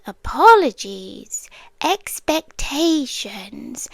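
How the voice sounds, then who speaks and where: A British woman voicing a possessive female guardian angel in an ASMR roleplay, half-whispering softly and coaxingly into a close microphone. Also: extremely high pitch, no echo, heavily acted. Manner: soft, intimate half-whispering; calm, coaxing and slow.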